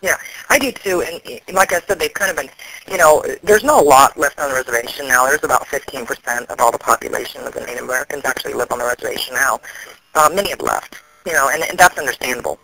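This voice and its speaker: A man, an activist, explaining things in a serious phone conversation, often halting and restarting. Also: mid-range voice, vocal fry, pushed and bright, low-quality recording.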